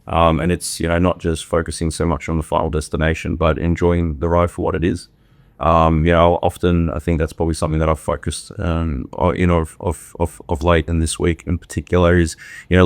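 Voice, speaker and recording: A man's voice: deep voice, no echo. A man from Australia, a podcast guest, talking in a reflective, casual way with many fillers and restarts. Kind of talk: casual interview talk.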